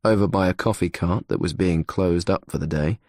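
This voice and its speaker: A man's voice: low-pitched, brisk, a little mellow, no echo, clean studio sound. A man narrating a suspense audiobook in the third person.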